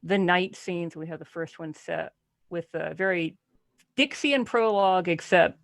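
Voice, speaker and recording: Female panelist, creaky voice, dry close sound, wry and emphatic in casual book chat. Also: on the low side, measured pace, dry recording. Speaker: podcast panelist. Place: remote video call.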